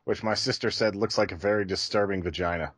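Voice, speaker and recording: A man's voice: fairly low pitch, steady tone, fast, no echo, clean studio sound. A man co-hosting a podcast, chatting casually in a rambling, loosely phrased way. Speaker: podcast co-host. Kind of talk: casual chat.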